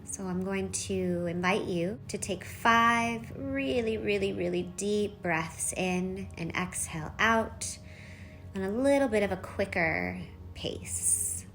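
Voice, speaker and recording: A woman leading a guided meditation, giving soft instructions in short phrases with long pauses between them. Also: mid-range voice. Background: background music.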